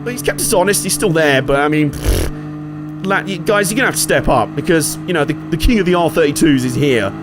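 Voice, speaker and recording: A man with a slightly hoarse voice from a cold, casually commentating his own racing game with jokes and swearing. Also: on the high side, expressive, fairly quick, noisy background. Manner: chatty, jokey, sweary, reacting aloud to the race. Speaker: gaming channel host.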